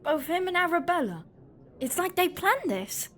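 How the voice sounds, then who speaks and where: A woman's voice: extremely high pitch, very animated, normal speed, fairly bright tone, full character voice. A woman playing a brash, suspicious young detective in an audio drama. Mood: wondering.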